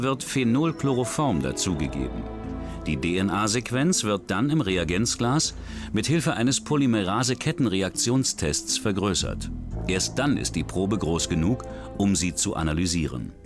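A man giving scripted true-crime documentary narration in a measured, even way. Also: fairly low pitch. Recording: studio voice-over with music bed.

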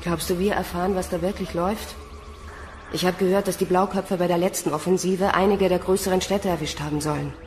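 An actress playing a wry, plain-spoken young newcomer recruit in a science fiction radio drama. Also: female voice, on the low side, steady tone, a little fast, darkish tone, soft background music, close to an everyday voice. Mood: curious, uneasy. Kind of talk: radio drama narration and dialogue.

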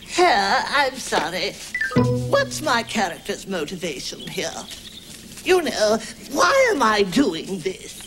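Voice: medium pitch, big pitch swings, slow pace, low-quality recording. A performer playing a fussy, anxious male rabbit who keeps stopping to apologize, in a children's TV comedy. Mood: flustered. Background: background music.

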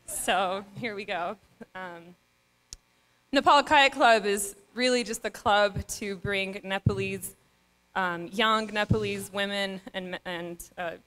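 A woman's voice: mid-range voice, fairly bright tone, rough recording. A young woman on a stage mic, giving an earnest acceptance speech with many ums and restarts. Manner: earnest, with frequent fillers and restarts. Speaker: award recipient giving a speech. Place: stage in a dark event venue. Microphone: stand mic.

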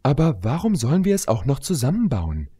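Man as a brash, higher-pitched pegasus girl. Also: wildly swooping pitch, brisk, mellow, slightly rough. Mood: annoyed.

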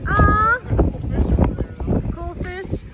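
A woman's voice: very high-pitched, fairly even intonation, drawn-out words. Woman doing casual sailing vlog, takes her time, kinda playful, really windy noisy recording. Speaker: sailing vlogger. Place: outdoors on a sailboat at anchor. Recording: loud wind and boat noise. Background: wind, water and boat noise.

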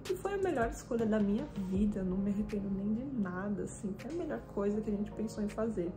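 A woman in her twenties from Brazil, with a Brazilian accent, telling her own story to camera in a casual, animated way. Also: mid-range voice, fast, over music. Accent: Brazilian Portuguese. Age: 20s. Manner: chatty and animated, with frequent hand gestures. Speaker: fitness vlogger. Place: small indoor room with a desk and lamp.

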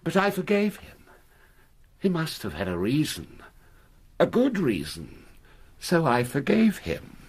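A deranged murderer for radio drama, a theatrical player who worships his hero. The voice is husky and distraught, with halting phrases and huge swings of pitch. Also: huge pitch swings, heavily acted. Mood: anguished denial.

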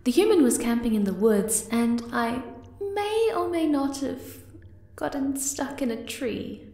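A woman voicing a shy, sweet young moth girl in an ASMR roleplay, gentle and hesitant in manner. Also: sits high, very animated, measured pace, exaggerated voice. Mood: bashful. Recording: echoey, distant from the mic.

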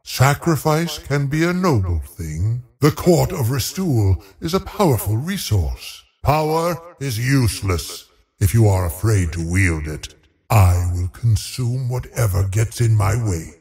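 An actor playing a haughty, cruel undead sorcerer in a fantasy video game, gravelly and menacing, with theatrical, imperious delivery. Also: male voice, mid-range voice, big pitch swings, a little slow, a little mellow. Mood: proud, threatening. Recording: long cavernous reverb.

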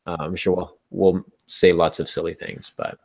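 Man, American accent, middle-aged, lowish pitch, podcast host, conversational explaining, home video-call setup. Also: flat delivery, unhurried, creaky, a little mellow. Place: small home room, indoors.

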